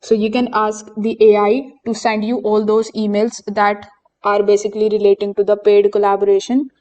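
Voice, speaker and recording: Mellow-voiced woman explaining software steps. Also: mid-range voice, moderate pace, lo-fi sound. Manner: steady explanatory instruction with occasional fillers. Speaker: software tutorial presenter. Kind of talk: screen-recorded software walkthrough.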